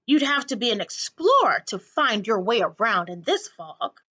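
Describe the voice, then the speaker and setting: A woman's voice: fairly high pitch, big pitch swings, moderate pace, sharp tone, heavily acted. A woman voicing a fussy, fond elderly lady neighbor in a storybook, fretful and doting.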